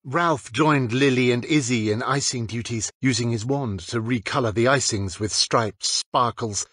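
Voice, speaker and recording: Husky male audiobook narrator, dry sound. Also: mid-range voice, fairly bright tone, dry recording. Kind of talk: scripted fiction narration.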